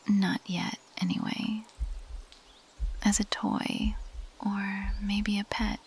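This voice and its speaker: A woman performs as an ancient female plant monster in an ASMR roleplay. She whispers in a breathy, creaky voice, unhurried and intimate. Her manner is teasing, possessive and predatory, with seductive curiosity. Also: medium pitch. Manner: intimate, teasing, slow and deliberate.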